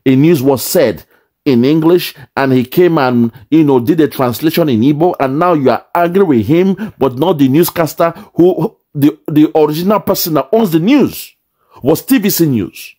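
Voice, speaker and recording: A man's voice: a little high, animated, normal speed, dark tone. A man commenting on a video clip, indignantly explaining and repeating his points with rhetorical questions.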